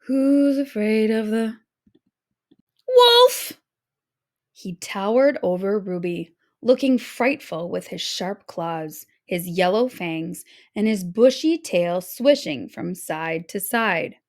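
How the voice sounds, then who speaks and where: A woman narrating a children's story aloud in a dramatic, expressive read-aloud style. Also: on the low side, takes it slowly, hardly any pauses. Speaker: storybook reader.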